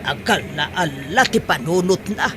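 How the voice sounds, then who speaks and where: A woman playing an excitable, exclamatory middle-aged mother and market vendor in a comedy radio-style drama. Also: low-pitched, normal speed, loud music behind the voice. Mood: shocked, nosy.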